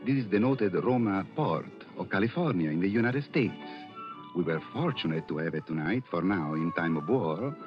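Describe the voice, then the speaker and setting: Suave, deep-voiced dining gentleman. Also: animated, moderate pace. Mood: courtly. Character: suave Latin American gentleman, courtly, proud host. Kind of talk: 1940s radio commercial acting. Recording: muffled old radio, music behind.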